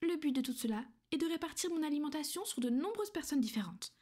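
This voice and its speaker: A woman playing a gentle but possessive vampire noblewoman, speaking softly and often in a whisper for an ASMR roleplay. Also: sits high, animated, fast. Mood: calm, matter-of-fact. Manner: soft, soothing, often whispered.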